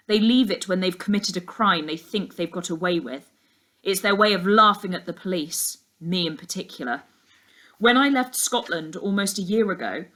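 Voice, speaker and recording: A woman's voice: medium pitch, a bit bright, close to an everyday voice. A woman voicing a brooding, burdened male detective in a storybook, speaking earnestly and emphatically. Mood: grave, bitter.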